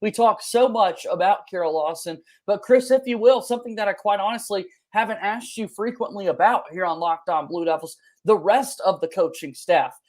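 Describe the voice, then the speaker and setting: A man's voice: high voice, moderate pace, few pauses, a little mellow. A man hosting a sports podcast, asking questions and setting up topics in a conversational interview style.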